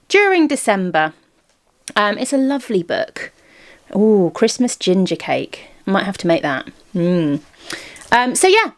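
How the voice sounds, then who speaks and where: A British woman chatting informally to viewers in a casual vlog, thinking aloud as she shows things. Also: medium pitch. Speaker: vlogger.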